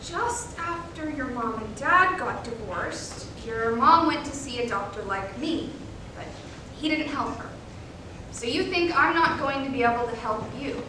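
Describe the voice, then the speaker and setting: A woman playing a gentle, patient male child psychologist in staged reader's theater, measured and coaxing. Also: expressive. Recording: echoey room, distant mic, faint rumble.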